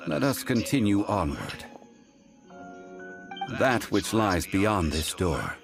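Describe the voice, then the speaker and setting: A deep-voiced ancient deity from a video game. He speaks formally and with grave composure, taking his time over each phrase. Music plays clearly behind him. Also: sing-song, heavily acted. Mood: calm, regretful. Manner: formal, measured, deliberate storytelling. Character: ancient solemn god in human form, grave and composed. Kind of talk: scripted video game dialogue. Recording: game dialogue under loud music.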